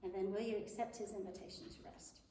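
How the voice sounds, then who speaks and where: A woman's voice: medium pitch, fairly even intonation, quick pace, background noise. A woman giving a Mother's Day talk at church, earnest and chatty, a little nervous, with a big voice. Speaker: guest speaker at church. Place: church sanctuary. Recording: echoey hall, mic from far off.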